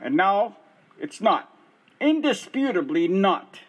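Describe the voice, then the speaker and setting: A man's voice: high-pitched, very animated, slow, breathy edge, exaggerated voice. A man playing a frustrated middle-aged injured worker who calls one office after another and insists on his case. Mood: exasperated. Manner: exasperated, insistent. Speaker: caller. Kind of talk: amateur radio play acting.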